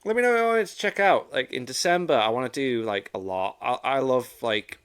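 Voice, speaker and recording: A man's voice: medium pitch, animated, a little fast, fairly bright tone, clean tone. A man hosting a reaction video in casual chat, enthusiastic and rambling, often repeating himself.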